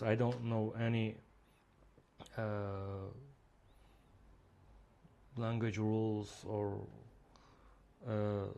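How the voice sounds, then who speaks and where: A man's voice: on the low side, a little mellow. A man with a Turkish accent, a native Turkish speaker, walking viewers through a reading app in a screen-recorded demo, speaking hesitantly with many fillers. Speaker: app creator demonstrating his reading app.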